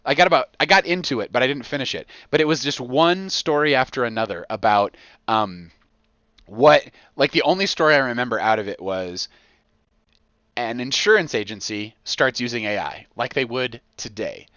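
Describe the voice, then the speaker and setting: Male podcast host, bright and forceful, slightly dull dry recording, casual storyteller who voices imagined quotes. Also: medium pitch, lively intonation, normal speed, no room sound. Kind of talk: casual rambling podcast chat.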